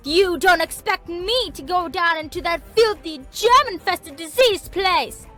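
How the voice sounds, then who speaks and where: A woman's voice: very high-pitched, lively intonation, measured pace, fairly bright tone, faint music behind, heavily acted. A woman voicing an earnest, dramatic teenage cartoon girl in an emphatic, theatrical fan fiction reading. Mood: disgusted.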